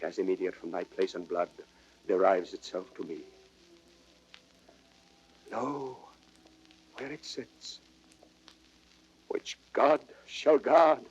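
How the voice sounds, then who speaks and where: Dull vintage recording, young prince, slow stately verse, grieving, proud, classical radio drama. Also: male voice, mid-range voice. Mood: proud resolve. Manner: stately, declamatory verse speaking. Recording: dull, band-limited old record sound.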